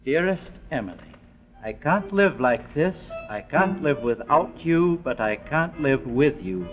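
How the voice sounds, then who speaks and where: A tinny old film soundtrack with music behind it carries an unstable, menacing killer. His high voice swings widely as he sneers, taunts and gives orders. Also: lively intonation, measured pace. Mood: mocking, controlled. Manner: sneering, commanding, swings from quiet to outbursts. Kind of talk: vintage screen melodrama acting.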